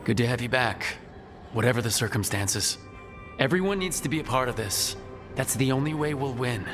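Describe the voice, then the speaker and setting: Man playing a steady, earnest team leader. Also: mid-range voice, moderate pace, music in the background, natural voice. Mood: encouraging. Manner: direct, earnest, composed. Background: game score.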